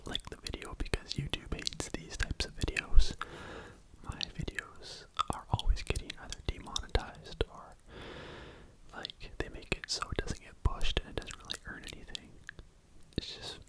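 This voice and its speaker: Asmr true crime host, all whispering, breathy n close up, chatty storytelling. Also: poor audio. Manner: informal, conversational, hushed narration.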